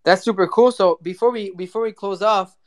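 Casual, slangy show host, mid-pitched. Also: a little fast. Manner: informal, slangy, effusive. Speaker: host of a live audio show. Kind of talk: casual chat hosting.

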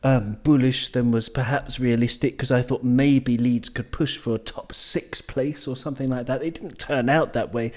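A man's voice: mid-range voice, steady tone, normal speed, hardly any pauses, a little mellow. A man hosting a football podcast, an upbeat, enthusiastic interviewer. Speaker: podcast host. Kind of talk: casual podcast chat.

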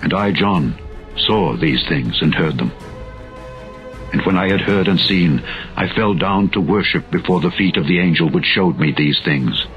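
A man narrating a dramatized scripture reading in a solemn, measured, formal manner. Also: low voice, expressive. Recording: dull lo-fi audio with music behind. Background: background music.

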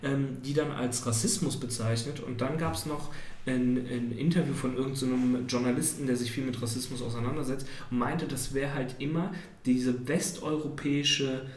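A man's voice: medium pitch, fairly even intonation, moderate pace. A man in his thirties chatting casually, telling rambling stories full of fillers. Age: 30s. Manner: rambling, filler-heavy storytelling. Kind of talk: casual chat. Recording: echoey room with faint ambience.

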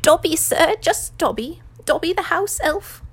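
A woman voicing a small male house elf in a storybook reading, squeaky and high-pitched, earnest, pleading and servile. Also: lively intonation, a little slow, heavily acted. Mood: humble. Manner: earnest, pleading, speaks of self in third person, deferential.

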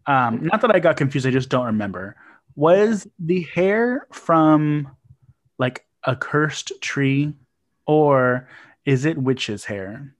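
A man's voice: fairly high pitch, expressive, slow. Easygoing man in casual podcast chat. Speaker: podcast co-host.

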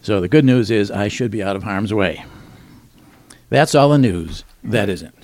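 A middle-aged man co-hosting a talk show, reading news items with wry deadpan punchlines and chuckling at his own jokes. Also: lowish voice, moderate pace. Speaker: radio show co-host. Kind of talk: joking news rundown.

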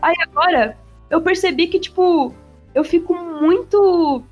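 A woman with a São Paulo accent chatting casually, full of slang and swearing, speeding up when she gets excited. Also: extremely high pitch, unhurried, muffled recording, faint music behind. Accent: São Paulo Portuguese. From São Paulo, Brazil. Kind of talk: casual chat.

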